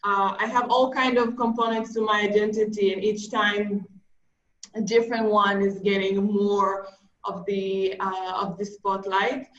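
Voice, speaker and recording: A woman in her thirties from Israel, speaking on a panel over a video call. She talks slowly and carefully, often pausing with ums. Her voice sounds roomy and a little muffled. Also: mid-range voice, level tone, fairly bright tone. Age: 30s. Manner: measured, hesitant with many ums. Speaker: activist panelist. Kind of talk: panel discussion remarks.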